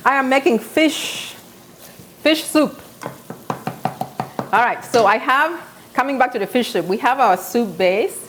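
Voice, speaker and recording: A woman's voice: on the high side, measured pace, pauses often, fairly bright tone, a little hiss or hum. A woman in her thirties from South Sudan, with a South Sudanese accent, hosting a live cooking show from her home kitchen and telling stories as she cooks. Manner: chatty, storytelling while cooking. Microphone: camera on tripod, medium distance.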